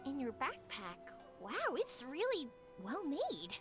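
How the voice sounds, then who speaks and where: An actress voicing a sunny, warm-hearted young woman who makes fireworks, friendly and gushing. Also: sits high, wildly swooping pitch, takes it slowly, over music, exaggerated voice. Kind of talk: game cutscene voice acting.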